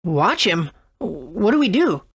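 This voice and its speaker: A woman voicing an earnest, excitable young boy adventurer in a video game. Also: fairly low pitch, takes it slowly, a little hiss or hum, full character voice. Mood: curious, alarmed.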